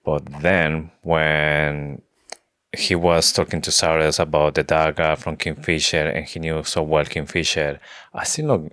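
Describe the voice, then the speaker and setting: A man who is a non-native English speaker, co-hosting a casual book discussion podcast with somewhat halting phrasing. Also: rumbling low, little pitch movement, a bit bright, dry recording, clean studio sound. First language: not English. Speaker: podcast co-host.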